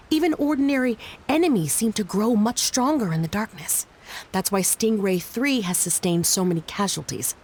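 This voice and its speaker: A woman playing a wry but dutiful shrine subordinate in a video game, creaky-voiced, composed and articulate. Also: mid-range voice, slight background noise, natural voice. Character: adult female shrine subordinate, wry, teasing yet dutiful.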